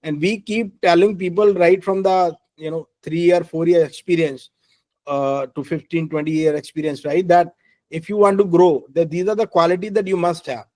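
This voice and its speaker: A man with an Indian English accent, a guest speaker and engineering head giving career advice with a loud, emphatic delivery, slipping between English and Hindi and often checking in with "right?". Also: fairly high pitch, steady tone, normal speed, no echo.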